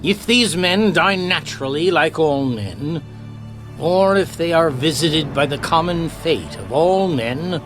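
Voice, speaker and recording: A man playing a solemn, authoritative prophet who leads his people, declaiming slowly and weightily in a dramatized scripture recording. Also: a little high, animated. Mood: solemn, resolute. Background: music.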